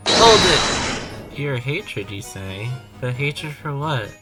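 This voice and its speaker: An actor playing a sarcastic, self-doubting amateur defender in a courtroom audio drama, given to exclamations. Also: male voice, medium pitch, lively intonation, slow, distant mic, loud music behind the voice. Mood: indignant.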